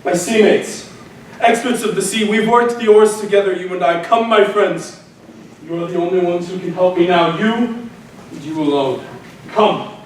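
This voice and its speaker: A man's voice: moderate pace, close to an everyday voice. A man playing a grief-mad warrior hero. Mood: pleading. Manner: declamatory stage delivery, swinging from anguished shouts to low despair. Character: grief-maddened proud male warrior hero. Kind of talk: stage tragedy acting. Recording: echoey room, far from mic, faint noise.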